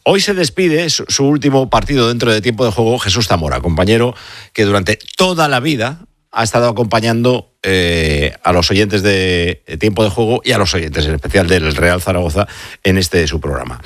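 A man's voice: on the low side, expressive, normal speed, fairly bright tone, no room sound, studio quality. A man hosting a live radio sports show, talking conversationally and asking friendly questions.

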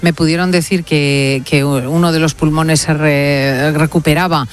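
A woman's voice: low-pitched, fairly even intonation, a little slow. A woman hosting a radio talk show, speaking in an emphatic, heartfelt way from the studio. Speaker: radio host.

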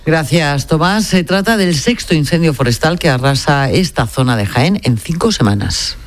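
A woman anchoring a radio news bulletin, reading in a measured, formal way. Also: deep voice, lively intonation.

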